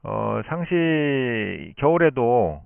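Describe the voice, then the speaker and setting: A man's voice: mid-range voice, sing-song, extremely slow, gravelly, clear, resonant tone, no echo. A man talking as an amateur radio operator, explaining things in polite, formal speech over a shortwave radio link. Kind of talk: explanatory radio contact talk. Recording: muffled narrowband shortwave radio transmission.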